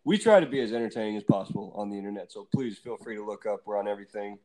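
A man in his twenties, a band singer with a low voice that sometimes cracks, chatting loosely and laughing often in a remote interview. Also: rapid-fire, poor audio. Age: 20s. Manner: relaxed, rambling, laughs often.

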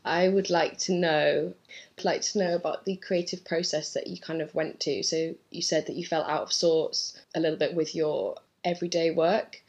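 Woman, interviewer, lowish voice, encouraging, muffled recording. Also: steady tone, normal speed, darkish tone. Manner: encouraging, fills in with affirmations. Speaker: interviewer, podcast host. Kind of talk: casual interview.

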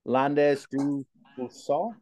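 A man's voice: medium pitch, slow pace, darkish tone. Rambling, chatty male podcast host. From United States. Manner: chatty, rambling, repeats words. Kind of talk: casual interview chat.

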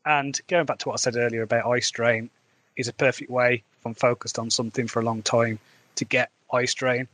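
A man's voice: mid-range voice, flat delivery, fairly quick, fairly bright tone. Midlands bloke rambling on his podcast, candid and chatty, fillers everywhere. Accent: English Midlands. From England. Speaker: author and podcast host. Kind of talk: casual solo podcast chat.

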